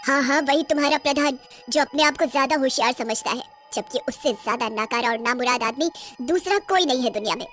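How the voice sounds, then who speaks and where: Woman putting on a menacing high-voiced vengeful witch. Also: a bit bright, faint music behind. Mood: bitter, vengeful. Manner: menacing, taunting, drawn-out threats. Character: vengeful, gloating female witch who runs a factory. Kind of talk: Hindi cartoon horror story acting.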